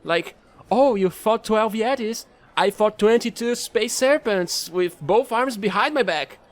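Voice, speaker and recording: A man playing a chatty, excitable fallen alien angel who trades in space, gushing and rambling in an audio roleplay. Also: high-pitched, unhurried. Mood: amused, chatty. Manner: bubbly, gushing, rambling, apologetic.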